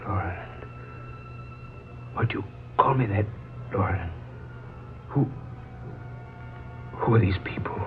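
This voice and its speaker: An actor playing a dazed, halting and indignant man who speaks as a long-dead father in a 1940s radio drama. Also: low voice, soft background music. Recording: muffled, noisy 1940s radio recording.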